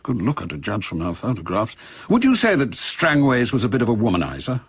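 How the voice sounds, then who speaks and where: An actor playing a gruff, impatient older intelligence chief with an English accent, brusque and commanding, in a radio drama. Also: male voice, mid-range voice, wildly swooping pitch, a little fast, heavily acted. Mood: matter-of-fact.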